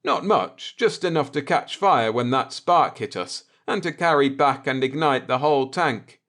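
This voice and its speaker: A man voicing a coolly vindictive spaceship navigator in an audiobook, deliberate and sardonic as he explains. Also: medium pitch, animated, a bit bright. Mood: matter-of-fact.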